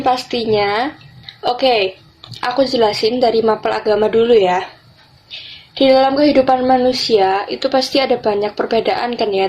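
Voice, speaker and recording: A woman's voice: on the high side, a little mellow, slight background noise, poor audio. A teenage young woman with an Indonesian accent, explaining things as a student podcast member.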